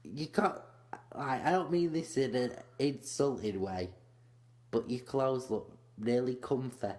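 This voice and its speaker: A man's voice: mid-range voice, a little slow, darkish tone. Sweary, teasing young man. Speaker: podcast co-host. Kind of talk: casual comedy podcast chat.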